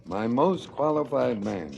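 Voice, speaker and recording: A man's voice: medium pitch, big pitch swings, measured pace, creaky, lo-fi sound, noisy background. An actor playing a calculating corrupt policeman in a stylised radio drama, declamatory and coolly menacing, with clipped retorts. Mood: cold, controlled. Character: smug, calculating corrupt policeman muscling in on racket.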